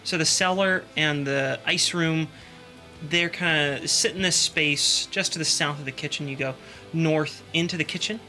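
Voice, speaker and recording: A man's voice: on the high side, moderate pace, pushed and bright, faint music behind. A man running a tabletop game as game master, sniffly from allergies, describing scenes with plenty of fillers. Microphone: desk microphone.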